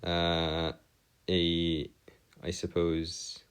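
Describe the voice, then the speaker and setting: A man giving an explanatory math lesson as a tutor, phrasing things formally and pausing deliberately between thoughts. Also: sits low, monotone, smooth. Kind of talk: explanatory math lesson over worked diagrams.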